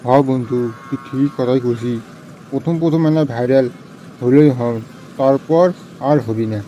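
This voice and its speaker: Comedy cartoon male actor as cocky village buddy, mid-pitched and very dark, teasing colloquial delivery, northern Bangladeshi accent. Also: unhurried, muffled recording, a little hiss or hum, lightly acted. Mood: agreeing. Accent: northern Bangladeshi regional. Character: cocky, boastful young village friend. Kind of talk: comic cartoon dialogue.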